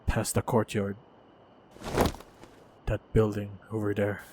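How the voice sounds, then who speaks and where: A man plays a protective fighter in a fantasy action roleplay, addressing the listener directly. His voice is on the low side and he takes his time, giving short phrases with pauses between them. The track is clearly noisy. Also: completely flat delivery. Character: steady protective male fighter guiding a princess. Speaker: voice actor in audio roleplay. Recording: noisy, effects-heavy track.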